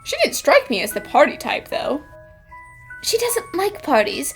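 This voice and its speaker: A woman's voice: sits high, sing-song, measured pace, faint music behind, full character voice. A woman voicing a perky, inquisitive young female detective in a cartoon fan dub, chirpy and keen to explain. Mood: bright, curious. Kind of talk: animated mystery fan dub acting.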